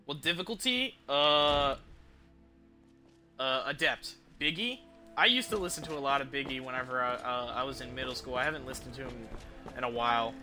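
A man's voice: medium pitch, animated, normal speed, bright, forceful tone. A young man chatting casually and irreverently as he live-streams a video game. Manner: irreverent, swearing. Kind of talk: casual live stream chat over gameplay. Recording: game music behind.

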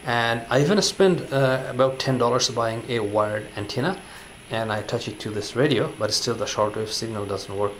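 A man's voice: medium pitch, normal speed, hardly any pauses, a little hiss or hum. A man giving a casual hands-on review at a table indoors. He talks loosely, with plenty of "you know" and "uh" fillers. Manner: relaxed, filler-heavy, says "you know" often. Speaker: product reviewer. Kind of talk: casual hands-on product demonstration.

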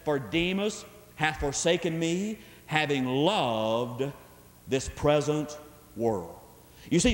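A man with a high-set voice preaches to a congregation in a large, reverberant hall. He is emphatic and exhortative, hammering key phrases by repetition. His address is direct and folksy, like plain conversation turned into a sermon. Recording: echoey hall with long reverb.

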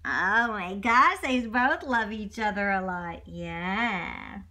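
A woman narrating a children's read-along in an animated, playful style with chatty asides to young listeners. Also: mid-range voice, slow. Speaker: storyteller for children.

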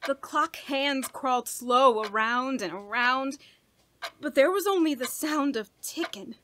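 An actress playing a guilt-ridden, unraveling woman in a radio horror play, telling her story in a halting, dramatic way. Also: high voice, bright, forceful tone, slight background noise. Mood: tense, recounting. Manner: halting, repeating words, breaking into nervous laughs and gasps. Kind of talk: dramatic first-person horror monologue in old-radio style.

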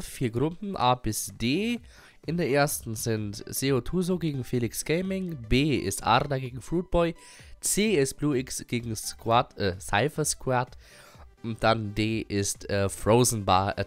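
A young man with a cold giving a casual, rambling channel update with many fillers and little laughs. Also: medium pitch. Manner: rambling, many fillers and restarts, frequent chuckles. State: has a cold. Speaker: gaming YouTuber and streamer.

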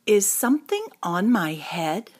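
A woman's voice: medium pitch, wildly swooping pitch, measured pace, slight background noise. A woman voicing a distressed, incredulous male elephant from a picture book, full of exclaiming questions. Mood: alarmed, incredulous.

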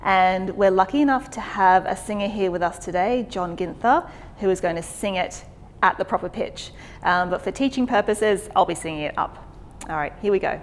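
A woman in her thirties with an Australian accent, teaching a song by singing short phrases slowly and explaining between them. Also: mid-range voice, slight background noise. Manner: sings phrases slowly for echoing back, then explains briskly. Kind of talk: singing lesson, sung phrases and spoken instructions. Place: plain studio with grey backdrop.

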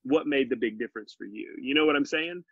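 A man's voice: mid-range voice, quick pace, husky, dry recording. A man in his forties, a podcast guest, talking earnestly and animatedly over a video call from his living room. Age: 40s. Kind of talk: casual conversational interview. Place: home living room with bookshelves. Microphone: video call, laptop mic. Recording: muffled dry video call audio.

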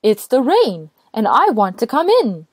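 A woman's voice: a little high, huge pitch swings, measured pace, husky, no echo, pitched above the performer's own voice, heavily acted. A woman voicing a gloomy little rain that pleads insistently, in a children's storybook. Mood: pleading. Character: soft, gloomy little rain who begs entry.

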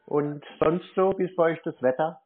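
A man, teasing a phone scammer in a playful, deadpan way. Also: on the high side, moderate pace, phone-quality sound. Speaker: channel host baiting a phone scammer. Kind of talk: casual prank phone call.

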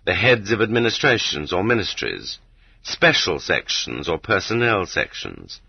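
Low, husky male audiobook narrator. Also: no echo. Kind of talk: scripted literary narration. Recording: dull, lo-fi cassette audiobook transfer.

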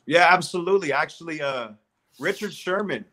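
A man's voice: fairly high pitch, fairly quick, bright, forceful tone. Friendly, upbeat Southern Californian man. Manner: upbeat, friendly, repeats words while thinking. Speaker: guest, strength and conditioning coach. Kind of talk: casual video call interview. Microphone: video call.

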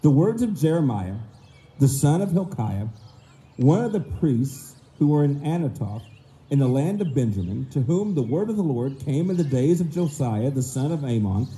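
A middle-aged man, hoarse and low-voiced this morning, preaching a sermon at a stand mic in an outdoor park pavilion and mimicking people's excuses in quick voices. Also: mellow, low-quality recording. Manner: acts out excuses in quick voices, slow emphatic stresses. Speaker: minister. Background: faint outdoor ambience with birds.

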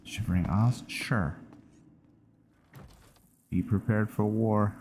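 A man giving casual, low-key commentary as he plays a video game, muttering his thoughts aloud. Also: steady tone, slow, darkish tone, slight background noise. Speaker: gamer, channel host. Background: game music.

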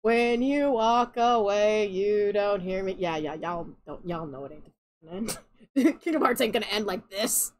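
A woman's voice: medium pitch, measured pace. A young woman streaming a video game, giving sarcastic, ranting commentary and swearing freely over the cutscenes. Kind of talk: casual let's-play commentary. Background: game music and cutscene audio.

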